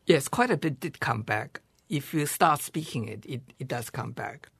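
A man in his seventies from China, a guest scholar giving measured, thoughtful interview answers and pausing to rephrase. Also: mid-range voice, animated, vocal fry, a bit bright, husky, no echo.

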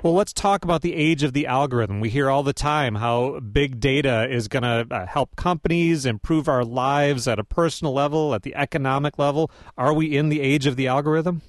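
Measured male public radio host. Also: medium pitch, dry recording, clean studio sound. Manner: measured, scripted introductions.

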